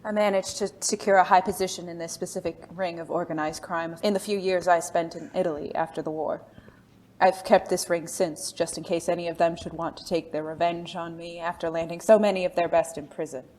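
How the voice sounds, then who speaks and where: A woman playing a refined, secretive former spy in an audio drama, speaking formally and haltingly, with endearments. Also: lowish voice, fairly even intonation, darkish tone, slight background noise, natural voice. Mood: composed, regretful.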